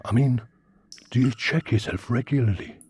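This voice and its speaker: A man voicing a chatty, insistent oddball worm-like butler in a radio sitcom, with a raspy British voice. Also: mid-range voice, moderate pace, a little mellow. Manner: chatty, insistent, over-familiar. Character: talking worm and shambling butler, pestering oddball. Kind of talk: comedy radio sitcom acting.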